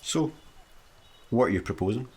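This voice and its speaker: Man playing excitable Scottish slacker, swooping pitch. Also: normal speed, slight background noise, close to an everyday voice. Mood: grumbling, flippant. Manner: excitable, flippant, grumbling. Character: scruffy, childlike, easily excited slacker spaceman. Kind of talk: sci-fi comedy radio play acting.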